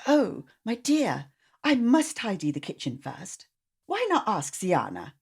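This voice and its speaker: A woman's voice: medium pitch, wildly swooping pitch, slow, vocal fry, darkish tone, breathy edge, heavily acted. Woman playing a singsong, coaxing storybook mum. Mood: kindly. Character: gentle, coaxing mother and aunts of storybook family.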